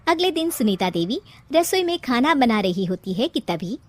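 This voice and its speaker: A woman's voice: on the high side, very animated, normal speed, dry recording. A woman narrating a scripted cartoon family story in a storytelling style.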